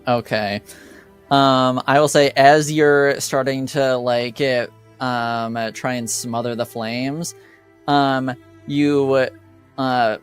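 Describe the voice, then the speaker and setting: A man improvising tabletop game narration as game master, speaking casually and haltingly. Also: mid-range voice, fairly even intonation, clear, resonant tone, faint music behind.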